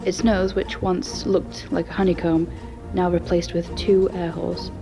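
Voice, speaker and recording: A woman's voice: lowish voice, flat delivery, moderate pace, vocal fry, music in the background. A woman narrating a scripted audio story.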